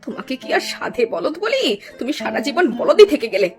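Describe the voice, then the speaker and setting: Cartoon role: actress as scornful, grasping wife, high rapid delivery over prominent music. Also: female voice, very animated. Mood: greedy, scolding. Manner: sharp-tongued, scolding. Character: greedy, scornful, domineering village wife. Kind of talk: cartoon dialogue acting.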